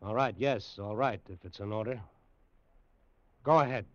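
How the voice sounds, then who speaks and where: An actor playing a shaken, insistent air force major and test pilot in an old-time radio drama, speaking with urgency. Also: male voice, fairly low pitch, moderate pace, lightly acted. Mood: weary, resentful. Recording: muffled, faintly noisy old radio recording.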